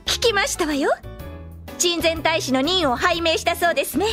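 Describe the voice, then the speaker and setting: An actress playing a proper young princess who speaks in a refined, prim, lecturing way in an anime drama CD. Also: female voice, very high voice, normal speed, fairly bright tone, faint music behind.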